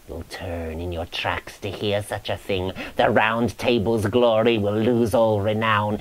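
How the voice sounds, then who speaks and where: A man's voice: lowish voice, poor audio. A man voicing a grisly, woeful ghost who solemnly prophesies doom in a dramatic reading of medieval romance verse. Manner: solemn, incantatory, moaning, preaching.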